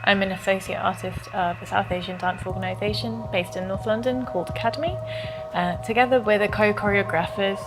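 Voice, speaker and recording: A woman's voice: mid-range voice, fairly even intonation, fairly quick, over music. A woman who is a Kathak dance artist, introducing herself briefly and matter-of-factly. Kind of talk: self-introduction.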